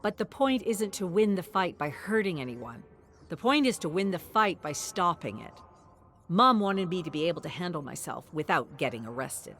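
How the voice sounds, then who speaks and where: Woman narrating a story with animated pitch. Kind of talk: scripted dramatic story narration.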